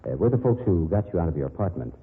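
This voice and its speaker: A low-voiced, wisecracking detective from an old radio mystery, blunt and breezy with a big heart. His delivery swings freely up and down, and the recording is muffled and hissy. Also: very animated, racing, breathy edge, heavily acted. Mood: gently breezy. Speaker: radio drama lead actor. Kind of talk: old-time radio detective drama acting.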